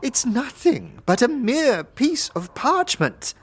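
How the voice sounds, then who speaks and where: Fussy nervous cult clerk, husky, stammers, grovels, slow but pitch swoops all over. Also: male voice, sing-song, heavily acted. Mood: anxious, wheedling. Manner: stammering, formal and obsequious. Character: nervous, fussy, wheedling male undead-worshipping clerk.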